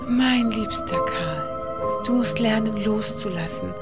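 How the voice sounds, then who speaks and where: An actress playing the gentle, pleading ghost of a dead lover in a radio drama, consoling and breathy at times. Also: female voice, on the high side, slow pace, loud music behind the voice. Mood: sorrowful, warning.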